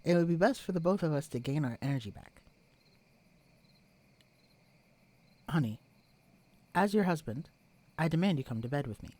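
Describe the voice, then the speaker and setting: A man acts out an alien impostor passing as someone's husband in an audio roleplay. The voice sits at a middle pitch, and every phrase is stiffly proper and stilted, with a pompous, formal air. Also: darkish tone, lightly acted. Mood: indignant, insistent.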